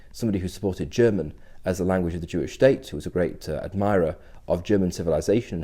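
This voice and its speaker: A middle-aged man, a rabbi, giving a short history talk in a measured, explanatory way. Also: sits low, warm tone.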